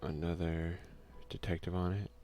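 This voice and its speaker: A soft-spoken man doing an ASMR let's play, reading game text aloud and commenting in a hushed voice that at times slips into a whisper. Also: sits low, level tone, normal speed. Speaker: gaming video creator and player.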